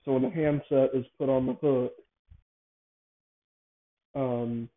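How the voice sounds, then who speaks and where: A man speaks over narrow, phone-like audio in a casual, unscripted monologue. He hosts in a halting way, often doubling back to correct or repeat his phrases. His pitch sits in an ordinary middle range. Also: steady tone. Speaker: show host. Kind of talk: casual improvised monologue, explaining a device idea.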